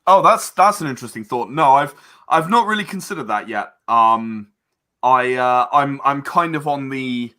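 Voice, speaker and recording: A man being interviewed in a casual chat, speaking earnestly and often haltingly, repeating words as he gathers his thoughts. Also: medium pitch, expressive, bright, forceful tone. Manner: earnest about creative work. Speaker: interview guest, game and audio drama creator.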